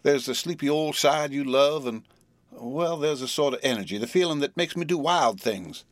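A man voicing an affectionate, restless young woman from the South, with a Southern US drawl and an earnest, halting manner in a storybook reading. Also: a little high, dry recording, voice pushed up, natural voice. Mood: wistful.

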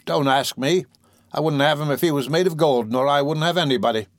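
A blunt, big-hearted servant woman from a storybook, acted out by a man. The voice is pushed up high and full of exclamation. She fusses and protests in homely, colloquial speech, indignant and devoted. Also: a little fast, dry recording, clean studio sound, natural voice. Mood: flustered, dismissive. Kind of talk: audiobook character voice.

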